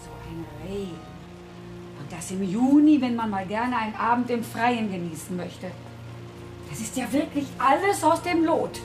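An actress playing a kindly, middle-aged housekeeper and carer, fussing and chattering solicitously in a radio drama. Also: female voice, a little high, animated, moderate pace, over loud music, close to an everyday voice. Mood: fussing, cheerful.

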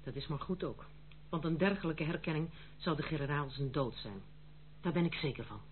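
Female actor as stern, protective noblewoman in radio drama: formal, unyielding, lowish voice, thin band-limited sound with hum. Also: a little fast, natural voice. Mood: firm, stern. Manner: formal, firm, reproachful. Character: strong-willed, stern young noblewoman protecting her household. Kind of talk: radio play acting. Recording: tinny, phone-like old radio play, slight hum.

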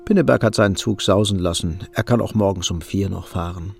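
A man's voice: low-pitched, brisk, gravelly, slightly rough, heavily acted. A man narrating a radio drama as a wry storyteller, reading scripted prose. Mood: wry, measured. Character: wry storyteller of a young couple's troubles. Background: guitar music.

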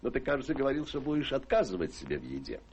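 An actor in a radio play voicing a teasing, sardonic middle-aged gentleman and father, droll and theatrical. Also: mid-range voice, very animated, racing, a little hiss or hum, close to an everyday voice. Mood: mocking.

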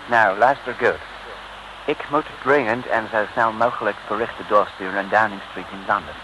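An actor playing a polite, secretive British police inspector with an English accent, mixing English words in, in old radio serial style. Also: male voice, on the low side, moderate pace, lightly acted. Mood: earnest, instructing. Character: courteous British male police inspector working undercover. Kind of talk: 1950s-60s radio serial acting. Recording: noisy old radio recording.

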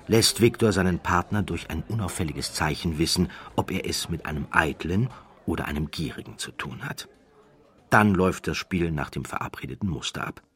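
A man narrating an audiobook as expressive, scripted storytelling. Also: low-pitched, slightly rough, dry recording, clean studio sound.

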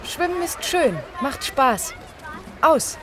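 An actress playing a spirited young student lifeguard in a radio play, with a direct, encouraging manner. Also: female voice, high-pitched, animated, slow pace, over a noisy crowd. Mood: cool, teasing. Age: young adult. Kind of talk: German radio play acting. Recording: noisy outdoor sound scene with water.

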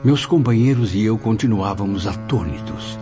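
Actor as low, awed spirit narrator. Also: male voice, animated, moderate pace, natural voice. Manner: solemn, literary. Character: awed male spirit pupil narrating his memoirs. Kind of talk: dramatic radio drama narration. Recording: dull lo-fi audio under loud music.

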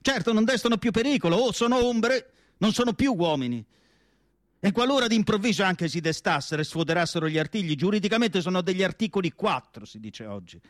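An actor playing an anguished, indignant and nostalgic asylum psychiatrist in a declamatory radio theatre monologue. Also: male voice, high voice, animated, fairly quick, no room sound, clean studio sound. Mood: grave, ominous. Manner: declamatory, rhetorical questions, emphatic lists. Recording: music playing behind the voice. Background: music.

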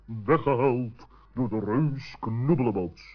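A wise old-fashioned storybook bird acted by a man with a middling pitch. He talks slowly in wordy, pompous phrases. The radio play recording is dull. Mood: dry, resigned. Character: ponderous, wordy wise male forest bird. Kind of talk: 1960s children's radio play acting.

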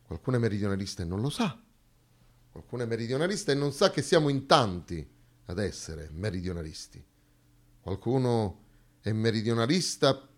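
A man acting on stage, delivering a dramatic monologue in an emphatic, declamatory way with rhetorical repetition. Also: medium pitch. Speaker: stage actor performing a theatre monologue. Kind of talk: dramatic theatrical monologue, rhetorical repeated lines.

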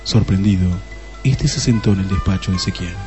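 A man's voice: deep voice, a little fast, warm tone, faint music behind, natural voice. An actor playing the narrator of a comic detective radio play, a man reading scripted storytelling in Spanish.

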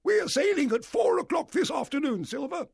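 A man voicing a blustering, hot-tempered gentleman squire in a storybook, loud and emphatic. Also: extremely high pitch, very animated, normal speed, creaky, no echo, studio quality, voice pushed up, heavily acted. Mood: brisk, loud. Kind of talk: storybook character dialogue.

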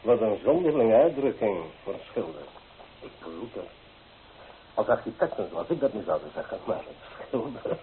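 A man's voice: lowish voice, sing-song, normal speed. A man playing an earnest, self-important young architect in a radio play, formal and a little prickly. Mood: condescending. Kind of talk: Dutch radio play acting. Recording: tinny old radio recording with hiss.

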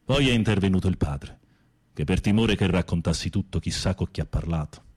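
Husky, low-voiced theatrical prisoner. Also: male voice, darkish tone. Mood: bitter confession. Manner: theatrical, sardonic, with drawn-out musing. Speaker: radio drama actor. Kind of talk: Italian radio theatre acting.

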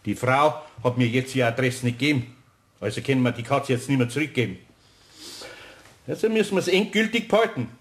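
A man's voice: mid-range voice, fairly quick, close to an everyday voice. An actor playing a grumbling but kind-hearted older Bavarian craftsman in a children's radio play, scolding in a folksy way. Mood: stern, scolding.

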